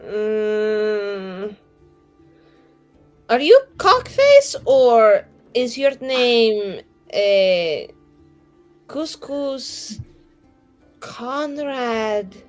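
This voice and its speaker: A woman improvises casually in a tabletop roleplaying game. Her high voice swings widely in pitch, and she takes her time, stretching words out very slowly. Music plays behind her. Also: big pitch swings, darkish tone.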